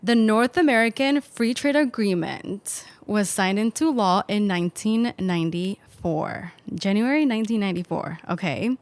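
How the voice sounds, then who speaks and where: A woman's voice: mid-range voice, moderate pace, hardly any pauses, a little mellow, no room sound. A woman from Mexico, a podcast guest, speaking thoughtfully in casual conversation with many fillers. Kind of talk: conversational storytelling.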